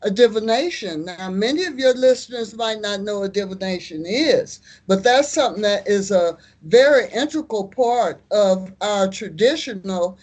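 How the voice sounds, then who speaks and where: An American woman in her seventies, an artist and community activist, answering interview questions in an unhurried, storytelling way with firm declarations. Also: medium pitch, animated, darkish tone. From United States. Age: 70s.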